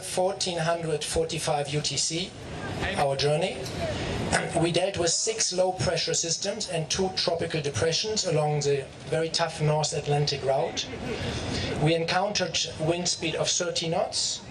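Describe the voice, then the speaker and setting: A man's voice: fairly high pitch, a little slow. A man, the captain of a yacht, giving a factual welcome speech and reporting the figures of a voyage one by one. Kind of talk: public speech at an outdoor welcome. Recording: noisy outdoor crowd recording.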